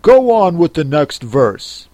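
A bossy, impatient storybook Gryphon, as a reader puts it on barks short, brisk orders. Its voice sits slightly raised and louder than the narration. The sound is dry and close. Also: mid-range voice, big pitch swings, no echo. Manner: brisk and commanding.

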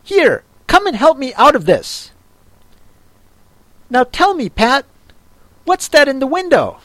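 A man voicing an impatient, bossy male rabbit in a storybook, shrill and ordering others about. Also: wildly swooping pitch, takes it slowly, a bit bright, no echo, full character voice. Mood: irritable.

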